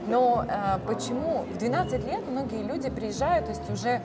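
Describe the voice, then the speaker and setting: A woman's voice: on the high side, lively intonation, moderate pace. A young woman interviewer chatting casually and asking curious questions in a café. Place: busy café, indoors. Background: loud music.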